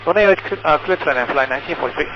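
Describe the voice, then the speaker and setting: A man, a pilot, giving somewhat halting readbacks to air traffic control over aviation radio. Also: fairly high pitch. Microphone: cockpit radio transmission. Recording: muffled aircraft radio with faint noise.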